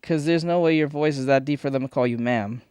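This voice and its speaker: Profane rambling male streamer, crisp dry voice. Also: mid-range voice, a little fast, a little mellow, no echo. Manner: rambling, profane, opinionated, with frequent restarts. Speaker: streamer reacting to videos. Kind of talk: casual stream commentary. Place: foam-treated home studio. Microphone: studio microphone.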